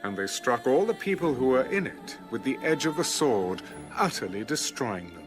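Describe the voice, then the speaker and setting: Male scripture narrator, mid-pitched, dramatic and solemn, animated intonation, scored with music. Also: moderate pace.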